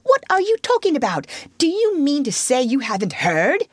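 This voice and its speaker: An earnest little water rat from a storybook played by a woman, scolding and excitable. The speech is emphatic and pitched high, with wide, animated swings. It sounds dry and close. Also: measured pace, dry recording, full character voice. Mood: agitated, incredulous. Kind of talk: children's storybook reading.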